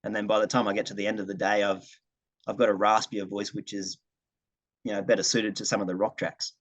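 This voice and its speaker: Low-voiced young Australian man. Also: flat delivery, a little fast, dry recording. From regional New South Wales, Australia. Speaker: singer-songwriter, guitarist being interviewed. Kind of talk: casual interview answers. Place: video call, dry room. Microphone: video call.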